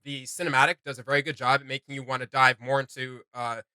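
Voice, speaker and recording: Bright-voiced man in casual reaction chat. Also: medium pitch, little pitch movement, quick pace, smooth, no room sound. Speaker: co-host of a reaction video.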